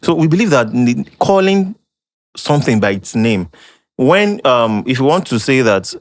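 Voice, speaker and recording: A man's voice: medium pitch, very animated, normal speed, no echo, studio quality. A man, a storyteller and historian explaining ideas at length in a podcast conversation, often with a laugh.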